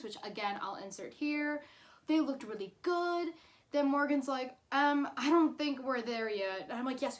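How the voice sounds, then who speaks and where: A woman in her twenties telling a personal story casually, in a chatty, informal way with dramatic emphasis. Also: high-pitched. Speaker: YouTube storyteller.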